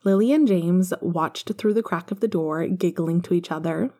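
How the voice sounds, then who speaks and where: A woman narrating fan fiction as an expressive storyteller, reading scripted prose and dialogue. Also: fairly low pitch, moderate pace. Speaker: podfic narrator.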